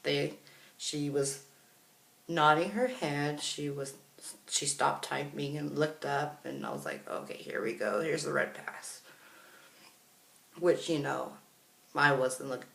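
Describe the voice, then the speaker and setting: A man's voice: medium pitch, gravelly, a bit bright. A man telling a personal story in a casual, chatty vlog style, acting out what other people said as he goes. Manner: chatty, reenacts others' words. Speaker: vlogger. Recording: roomy, echoey room sound.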